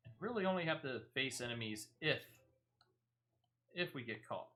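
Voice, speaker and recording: A man with a bright, forward voice at a middling pitch commentates casually on a video game. He talks through each move as he makes it and explains tips for viewers step by step, like a streamer guiding an audience. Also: animated, moderate pace, slight background noise. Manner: explains step by step, talking through own actions.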